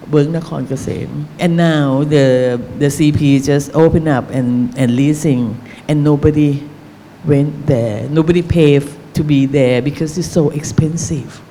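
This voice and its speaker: A Thai-accented woman answers questions on an academic panel in English, her second language. She talks slowly and haltingly, circling back to find her words, in a mellow, warm voice. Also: low voice, slight background noise. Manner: halting, searching for words, many fillers. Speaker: novelist on a panel.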